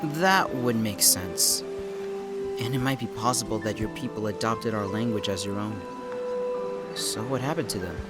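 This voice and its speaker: A man's voice: lowish voice, normal speed, natural voice. A man playing a young former police officer in an audio drama, earnest, hesitant and often puzzled. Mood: earnest, unsure. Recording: background music under the voice.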